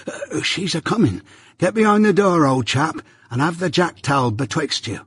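A man voicing a slow, gentle and simple village blacksmith who speaks mildly and plainly. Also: fairly high pitch, expressive, slightly rough, no room sound, clean studio sound, pitched above the performer's own voice. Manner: slow, mild and plain-spoken.

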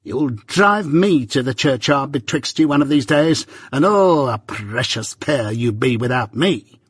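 A man voicing a shrill, scolding blacksmith's wife who talks in a sharp, loud, hectoring way. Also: expressive, unhurried, slightly rough, no room sound.

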